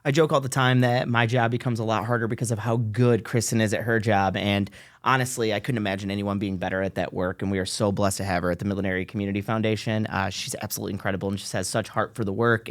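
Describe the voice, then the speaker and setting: A man hosting a local interview show, upbeat and enthusiastic, warmly praising and asking his guest questions. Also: fairly low pitch, fairly even intonation, fast. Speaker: podcast host.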